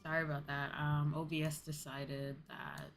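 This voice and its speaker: A woman's voice: deep voice, level tone, normal speed, vocal fry, soft background music. A woman livestreamer chatting casually to her viewers as she plays, informal and rambling, often repeating herself. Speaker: livestreamer and host.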